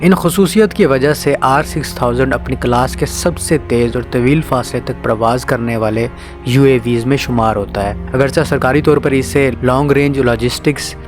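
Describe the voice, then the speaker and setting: A man reads scripted news narration in a formal, measured manner. His pitch sits in the middle range for a man. Music plays behind his voice. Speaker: narrator of a defence news channel. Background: background music.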